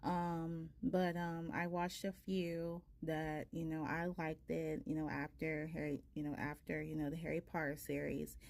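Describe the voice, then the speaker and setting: Soft-spoken woman, chatty, lowish voice. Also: level tone, measured pace, gravelly, hardly any pauses. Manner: rambling, many fillers. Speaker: tarot reader, channel host. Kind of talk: casual chatty talk to viewers.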